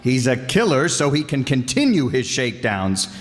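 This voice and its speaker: An actor playing a cocky, wisecracking detective hero with a breezy, confident manner in an old-time radio drama. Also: male voice, mid-range voice, sing-song, normal speed, close to an everyday voice. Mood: urgent explaining. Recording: reverberant hall stage performance.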